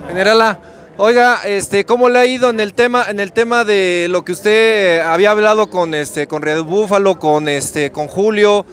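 High-voiced Mexican man, hesitant interviewer. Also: normal speed, a bit bright. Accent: central Mexican. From Texcoco, central Mexico. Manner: hesitant, roundabout questioning. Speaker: street interviewer. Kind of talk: casual, informal interview. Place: busy city street outdoors.

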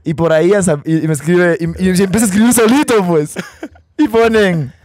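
A man's voice: high voice, brisk, darkish tone, dry recording, studio quality. A young man with an Ecuadorian Spanish accent chatting casually in playful, profane slang into a handheld mic. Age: young adult. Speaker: podcast co-host telling anecdotes. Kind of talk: casual comedic chat. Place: outdoor rooftop terrace. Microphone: handheld microphone held close.

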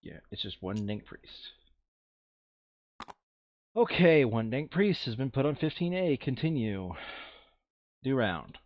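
A man's voice: medium pitch, big pitch swings, slow, pauses often, muffled recording. A man playing a tabletop game online, switching between casual chat and reading game text aloud. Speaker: board game player reading out scenario text.

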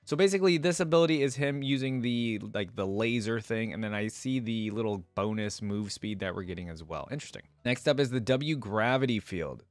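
A man's voice: fairly low pitch, brisk, few pauses, dry recording. Young man, dry close mic, reacting. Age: young adult. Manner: conversational, thinking aloud. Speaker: reaction video host. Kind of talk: casual game reaction commentary. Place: small indoor room at a desk. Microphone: close desk microphone with headset worn.

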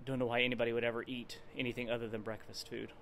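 A man in his forties talking casually and haltingly in a vlog, thinking aloud as he goes. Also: medium pitch, flat delivery, fairly bright tone, a little hiss or hum. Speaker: fitness vlogger. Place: wooden garage with the door open.

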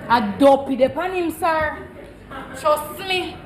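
Casting: blunt, inquisitive young Jamaican maid, very high voice, echoey noisy theatre recording. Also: female voice, slow. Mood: emphatic. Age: young adult. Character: nosy, superstitious, outspoken young Jamaican housemaid. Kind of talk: comedic stage play acting in patois. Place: theatre stage before an audience.

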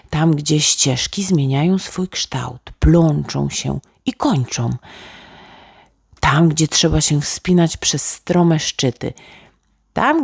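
A woman's voice: sits low, creaky, a little mellow, husky, dry recording, studio quality, heavily acted. A woman voicing a dreamy, imaginative little boy who muses aloud in a bedtime storybook. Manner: musing, pondering aloud with hums.

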